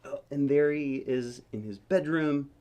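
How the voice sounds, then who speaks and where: A man's voice: fairly high pitch, unhurried, dark tone. A man reading a picture book aloud as a dad would at bedtime, expressively, with casual, wondering asides.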